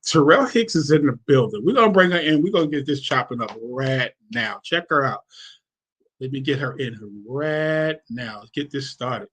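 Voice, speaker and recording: A man's voice: medium pitch, animated, moderate pace, a bit bright. A man from New York hosting a radio show, chatting with a guest in a hyped, enthusiastic way. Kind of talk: casual interview chat.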